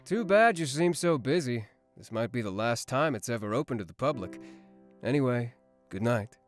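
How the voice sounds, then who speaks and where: A man's voice: medium pitch, animated, normal speed, natural voice. An actor playing a teasing, smug, mysterious man in his twenties in a visual novel, with music behind him. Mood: cool baiting. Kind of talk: visual novel character acting. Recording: background music under voice.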